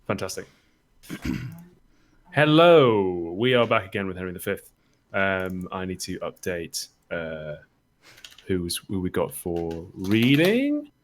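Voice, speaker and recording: A man's voice: low voice, very animated, a little slow. Man hosting a casual livestream. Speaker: host of a charity Shakespeare livestream.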